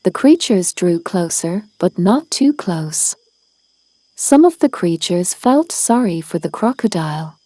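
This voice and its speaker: A woman's voice: fairly low pitch, very animated, measured pace, mellow, no room sound. A woman narrating a children's storybook as a read-aloud. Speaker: storybook reader.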